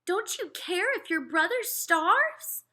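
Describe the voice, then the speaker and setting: A worried mother from a children's storybook, voiced by a woman reader. She pleads and coaxes insistently in a raised, bright voice. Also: extremely high pitch, animated, slow, voice pushed up, heavily acted. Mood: pleading. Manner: coaxing, insistent.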